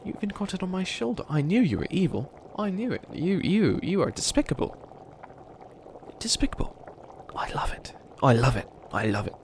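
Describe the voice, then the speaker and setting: Bumbling evil bunny witch , male performer, comedic ASMR: theatrical, rambling schemer, gravelly creak, slight noise behind. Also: sits high, very animated, moderate pace. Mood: flustered delight. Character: bumbling, dramatic bunny witch who thinks himself evil.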